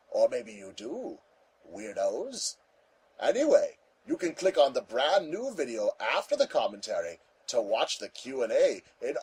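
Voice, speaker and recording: Elderly apartment manager, played by a man, comic and nagging, rambling folksy delivery, raspy and animated. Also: on the high side, heavily acted, poor audio. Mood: mildly teasing. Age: older. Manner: rambling, folksy, scolding advice. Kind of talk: comic character monologue.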